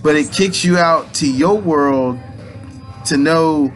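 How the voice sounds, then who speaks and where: A man's voice: a little high, slow pace, fairly bright tone, soft background music. A man in his late thirties giving a rambling, casual spiritual pep talk in loose slang, restarting his sentences often. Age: 30s. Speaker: aspiring minister and motivational speaker.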